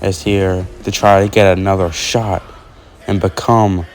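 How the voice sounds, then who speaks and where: A man's voice: low-pitched, flat delivery, measured pace. A man doing pro wrestling commentary and introductions, drawing out his phrases as he announces.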